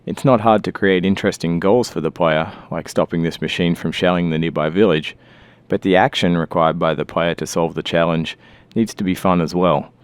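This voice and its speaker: Low-voiced man, dry scripted commentary. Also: steady tone, moderate pace, clear, resonant tone, no echo. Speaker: game developer.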